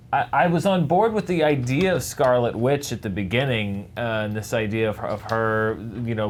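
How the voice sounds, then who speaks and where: A man's voice: mid-range voice, slight background noise. A man giving casual commentary as a judge on a debate show, thinking it through hesitantly as he talks.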